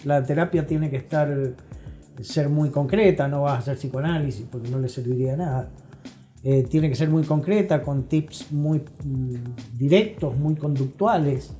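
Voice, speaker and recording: A middle-aged man with an Argentine accent, explaining things as an expert guest in an interview in an emphatic, deliberate way, pausing to check he is understood. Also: fairly high pitch, warm tone, faint music behind. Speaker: guest expert, psychology professional.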